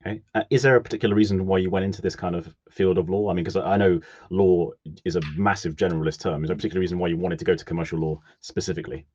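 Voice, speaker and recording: A man's voice: deep voice, fairly even intonation, rapid-fire, few pauses, darkish tone, dull audio. A man hosting a live stream interview, asking rambling friendly questions with lots of fillers.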